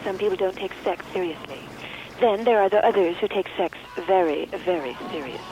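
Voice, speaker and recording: An actress playing a scorned mistress in a comic radio play, speaking with controlled, pointed menace as a phone-in caller. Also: female voice, fairly low pitch, fairly quick, muffled recording, noisy background, close to an everyday voice. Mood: menacing. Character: scorned mistress calling in, calm, quietly menacing woman. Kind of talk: comic radio drama acting.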